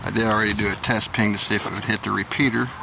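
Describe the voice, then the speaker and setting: An American man from Indiana giving a casual, plain-spoken, unhurried how-to demonstration as a hobby vlogger, pausing as he works. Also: on the low side, fairly even intonation, creaky, noisy background. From Indiana, United States. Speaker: amateur radio hobby vlogger. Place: outdoors.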